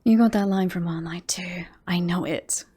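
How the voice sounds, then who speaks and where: A woman playing a possessive, lovestruck female werewolf in a roleplay audio drama, teasing and boastful, often slipping into breathy whispers. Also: on the low side, fairly even intonation, mellow. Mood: smug, teasing. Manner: slow, breathy asides, often dropping into whispers.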